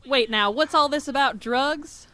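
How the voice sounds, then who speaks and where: A woman's voice: sits high, measured pace, crowd noise. A woman voicing a folksy, easygoing country pony with a drawl in a cartoon comedy dub. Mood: amiable. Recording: loud noise behind voice.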